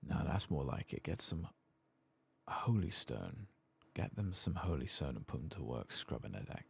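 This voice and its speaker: Very deep, creaky soft-spoken man. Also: very animated, moderate pace, dry recording. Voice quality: gravelly. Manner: muttered asides and reading game text aloud. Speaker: gaming streamer. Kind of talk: soft-spoken ASMR game playthrough. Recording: faint game ambience behind.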